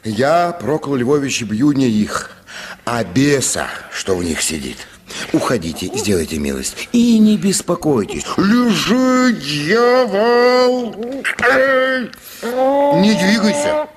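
An actor playing a stern, rough barber in a radio play, commanding, folksy and threatening. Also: male voice, fairly high pitch, big pitch swings, takes it slowly, long pauses, background noise. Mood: respectful, firm. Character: stern, rough male barber, menacing and righteous.

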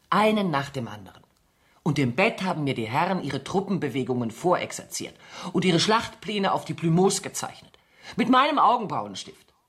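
A woman's voice: low voice, slightly rough, full character voice. An actress in a German radio play, playing a proud, sardonic accused woman spy with a theatrical delivery. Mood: bitter sarcasm.

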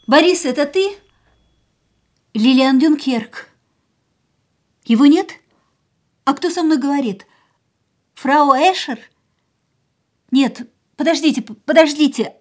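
An actress playing a fragile, restless young woman in a radio drama, speaking softly and often in a hushed near-whisper. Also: high-pitched, slow pace, pauses often. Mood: urgent, anxious.

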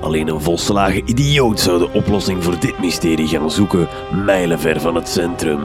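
A pompous male detective with a Flemish accent, voiced by an actor in a comic gothic radio play. He speaks in a very low register with theatrical self-assurance, and music plays clearly behind him. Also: natural voice. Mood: pompous deduction. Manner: pompous, theatrical, deliberate diction. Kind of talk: comic radio drama acting.

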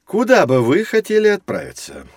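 An actor playing a kindly, encouraging professor who guides children in an educational audio play. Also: male voice, high-pitched, wildly swooping pitch, moderate pace, no echo, studio quality, full character voice. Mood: genial, encouraging. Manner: genial, encouraging.

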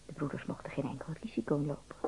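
A woman's voice: sits low, steady tone, fast talker, noisy background. A woman narrating a radio play in a scripted storytelling style. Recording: dull recording with music behind. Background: music.

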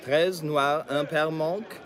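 Highish-voiced formal casino croupier. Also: male voice, steady tone, slow, faint music behind. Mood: impassive. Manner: formulaic, clipped announcing. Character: formal casino croupier calling roulette in French. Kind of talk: radio drama acting. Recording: clicks and casino noise behind.

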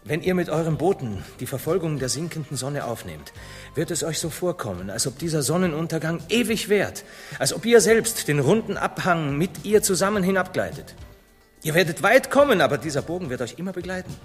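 An eager young explorer in a radio drama, declaiming with stubborn conviction. The voice is high and swings widely, ringing in a long hall with music behind it. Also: male voice, big pitch swings, brisk. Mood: rapt. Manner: declamatory, explaining with fervour. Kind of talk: theatrical German radio play acting.

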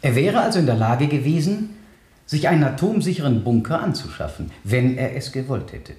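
An actor playing an official, insistent public prosecutor in a radio courtroom drama, speaking formally and emphatically. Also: male voice, mid-range voice, big pitch swings, a little fast, darkish tone, natural voice. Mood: probing, formal. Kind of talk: 1970 German radio drama acting, courtroom questioning. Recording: roomy vintage radio studio sound.

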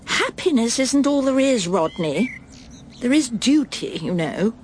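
Middle-aged wife, prim and self-satisfied yet brooding, lively pitch swings, faint noise behind. Radio play. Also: female voice, measured pace. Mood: hurt, insistent. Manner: prim, clipped, self-reassuring. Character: proper, self-satisfied English wife and mother, anxiously brooding. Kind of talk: radio drama acting, dialogue and inner monologue.

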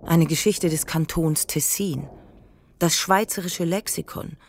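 A woman performing as the sober narrator of a literary radio play, speaking in a measured, precise way. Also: low voice, slight background noise. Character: sober female narrator observing an ageing widower.

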